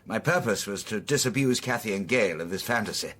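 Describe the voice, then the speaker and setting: An actor playing a courteous, guarded middle-aged amateur detective in a radio drama, with a measured, wry manner. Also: male voice, on the low side, lively intonation, fairly bright tone. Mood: polite, candid.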